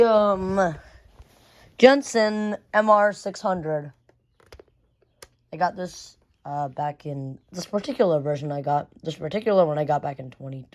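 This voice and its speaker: A woman's voice: on the low side, darkish tone. A woman giving a casual, unscripted show-and-tell of a collection, rambling and often repeating phrases. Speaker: radio collector showing a collection.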